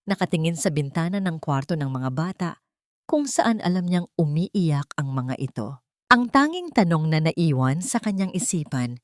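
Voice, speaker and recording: A woman's voice: deep voice, a little mellow, no echo, studio quality. A woman narrating a scripted audio drama in a solemn, emotive storytelling manner.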